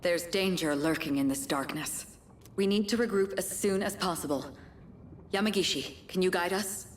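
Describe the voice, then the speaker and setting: A woman voicing a composed, authoritative young woman commander in an anime video game, formal and measured. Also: fairly low pitch, a little hiss or hum, natural voice. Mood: serious.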